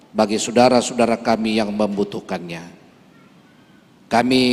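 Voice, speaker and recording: A man from Toraja, a church leader, giving an earnest speech and then a solemn prayer at a Christmas service. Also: a little high. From Toraja, Indonesia. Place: large church hall. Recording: long hall reverb.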